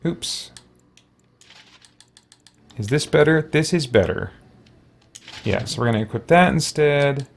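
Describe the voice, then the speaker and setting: A man's voice: medium pitch, expressive, slow, creaky, pauses often, a little hiss or hum. A man giving casual gameplay commentary as a let's play host, thinking aloud and muttering to himself as he goes.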